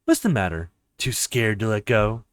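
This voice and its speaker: A man's voice: lowish voice, sing-song, moderate pace, no room sound, studio quality. A man voicing a cocky, taunting young male fighter from a visual novel, with a swaggering delivery. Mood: menacing.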